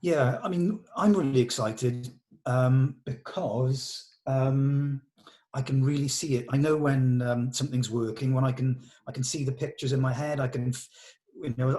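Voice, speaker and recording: A man speaks in a casual meeting style, leading the discussion as a director would. His manner is enthusiastic and encouraging, with an ordinary mid-pitched voice. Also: dark tone. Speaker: director leading a script read-through. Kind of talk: casual production meeting chat.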